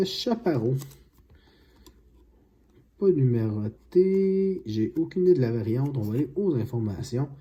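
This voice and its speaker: A man hosting a card-opening video, unhurried and excited over big pulls. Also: mid-range voice, husky. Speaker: card collecting channel host. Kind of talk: casual card-opening vlog. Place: home, at a card-opening mat.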